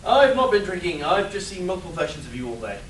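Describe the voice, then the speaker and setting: A man's voice: high-pitched, big pitch swings, quick pace, fairly bright tone. A man playing a jaded, boozy superhero sidekick in an amateur comedy radio play, sardonic and irreverent. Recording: roomy, distant live convention recording with noise.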